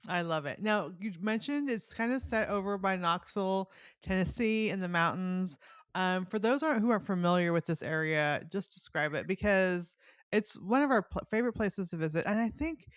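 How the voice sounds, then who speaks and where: A woman's voice: medium pitch, expressive, normal speed, clean tone, no echo. A woman hosting a podcast, interviewing in a casual, chatty, informal way. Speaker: podcast host.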